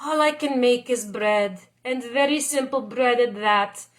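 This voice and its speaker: Woman as an earnest humble baker father, high-pitched. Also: a little slow, natural voice. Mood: dejected. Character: humble Italian father, a baker, glum then exuberant.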